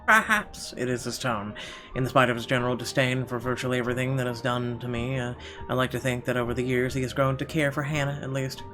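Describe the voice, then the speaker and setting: A man reading horror visual novel narration aloud as a let's player, in an expressive, dramatic storytelling style. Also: mid-range voice, quick pace, faint music behind.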